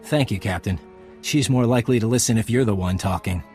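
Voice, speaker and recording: An actor voicing a plain-spoken resistance leader with dry humour in a video game. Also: male voice, fairly low pitch, fairly quick, darkish tone, soft background music, lightly acted. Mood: wry, grateful. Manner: plain-spoken, drily humorous. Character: wry, grateful resistance leader on an occupied world.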